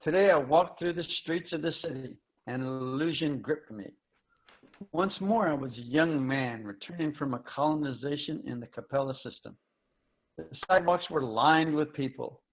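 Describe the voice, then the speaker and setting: A man playing a grave, resolute leader of a dying future Earth in a solemn, measured, theatrical dramatic reading. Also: on the high side, lightly acted. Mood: wistful, solemn. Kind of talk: dramatic reading of science fiction. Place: online video call reading. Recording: dull, lo-fi video call audio.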